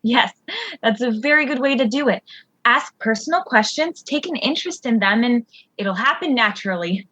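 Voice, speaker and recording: Woman playing high-voiced, gentle, halting mentor, dry recording. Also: expressive, dry recording. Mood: gentle, reassuring. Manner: halting, reassuring. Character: gentle, encouraging female mentor on a space station, easily flustered. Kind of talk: audio drama acting.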